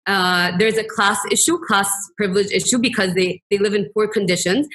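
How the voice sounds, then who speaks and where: A woman from Kuwait presents as an academic panelist. Her voice is bright and forceful, at a middling pitch. She explains step by step, often rephrasing and qualifying what she has said. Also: a little fast.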